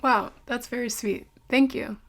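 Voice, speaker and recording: An actress playing a polite, earnest young investigative reporter with an American accent in an audio drama. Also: female voice, on the high side, a little slow, slight background noise. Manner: polite, wry. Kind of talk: audio drama acting, narrated recorder diary.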